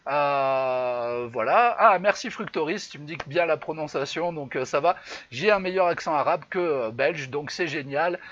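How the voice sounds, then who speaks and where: A man's voice: on the high side, normal speed, fairly bright tone, highs cut off, a little hiss or hum. A man from France hosting a live online improv show, talking loosely with many fillers and restarts. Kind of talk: casual live-stream hosting. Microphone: computer mic on a live stream.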